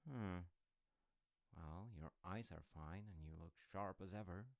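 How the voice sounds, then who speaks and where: Man acting a deep, creaky-voiced sly secret agent. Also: dry recording. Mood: feigned concern. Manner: casual, halting, playfully insinuating, dropping into near-whispers. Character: smooth-talking male secret agent, teasing, slyly treacherous. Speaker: audio roleplay performer. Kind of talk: intimate one-sided audio roleplay acting.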